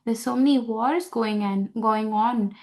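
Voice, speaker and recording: A woman giving an unscripted scripture class, talking slowly with many fillers and restarts as she searches for words. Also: on the high side, a little mellow.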